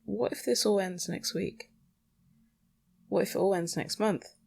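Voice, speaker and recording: A young adult podcast host with a creaky voice, rambling casually alone in a blunt, sweary, self-questioning way. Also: mid-range voice, measured pace, a little mellow. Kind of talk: casual solo rambling monologue.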